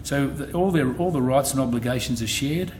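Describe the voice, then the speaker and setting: A man's voice: medium pitch, a little mellow. Australian man briefing a public meeting. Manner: measured, restarts phrases often. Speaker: insurance company executive. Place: meeting hall. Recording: noisy meeting room recording.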